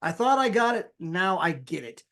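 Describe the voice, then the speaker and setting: A man giving an energetic sales pitch on a live call, casual and folksy, acting out the people he quotes. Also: normal speed, vocal fry. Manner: animated, acts out quoted people with big pitch jumps. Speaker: sales presenter.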